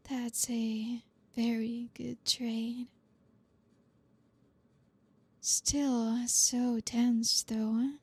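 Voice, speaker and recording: A woman playing a flirty, dominant boss in an ASMR roleplay, speaking softly and breathily close to the mic in a teasing, commanding way. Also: a little high, heavily acted. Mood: cool, teasing. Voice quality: soft, breathy, often near whisper. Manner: slow, commanding, teasing, with pauses. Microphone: close mic, dry.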